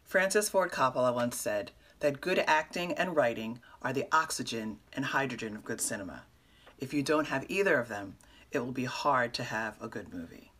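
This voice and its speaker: A woman's voice: a bass voice, moderate pace, fairly bright tone. A middle-aged woman presenting awards in a scripted announcement style, reading out nominees and winners. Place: indoors, in front of a curtain.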